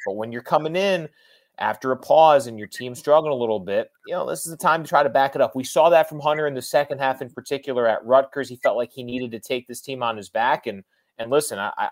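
A man giving casual, emphatic sports analysis as a guest on a podcast. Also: mid-range voice.

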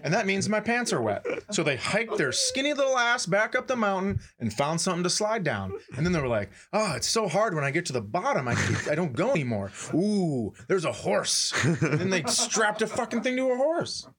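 A man's voice: high-pitched, sing-song, a little fast, few pauses, crowd noise. A man co-hosting a comedy podcast, riffing casually with profane, sarcastic jokes into a room microphone. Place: studio room.

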